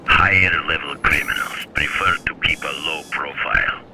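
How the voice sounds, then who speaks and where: An actor playing a calm, menacing older hunter who mentors the hero in a video game, speaking in a measured, commanding way with a deep gravelly voice. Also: male voice, noisy background. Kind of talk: video game cutscene dialogue.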